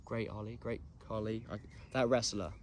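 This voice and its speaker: Young guy, lowish and nasal with hay fever, rambling solo podcaster, faint hum behind. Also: fairly even intonation, normal speed. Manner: rambling, self-deprecating, many ums. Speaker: podcast host. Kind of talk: casual solo podcast chat.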